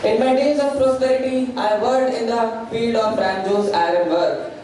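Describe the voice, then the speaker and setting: A woman's voice: on the high side, moderate pace, dark tone, lightly acted. A woman playing a lonely, hospitable old male crofter in an amateur stage play, with a welcoming theatrical manner. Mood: reminiscing. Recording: echoey hall, distant mic, faint noise.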